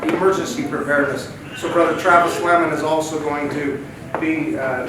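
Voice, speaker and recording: A man introducing a church lesson, earnest and halting, often repeating his words. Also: on the high side. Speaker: bishopric member leading a church lesson. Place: church meeting room. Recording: echoey hall, distant mic, noisy.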